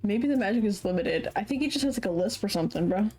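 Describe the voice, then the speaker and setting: A woman streamer chatting casually while playing a game, laughing often and filling pauses with "like". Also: medium pitch, lively intonation, a little mellow, slightly rough, faint music behind. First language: English. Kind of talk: casual gameplay commentary.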